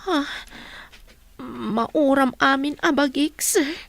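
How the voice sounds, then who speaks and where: A woman's voice: sits high, slow pace, vocal fry, heavily acted. A Filipino woman playing a naive, fearful young woman, someone's little sister, in a radio drama. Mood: puzzled, alarmed.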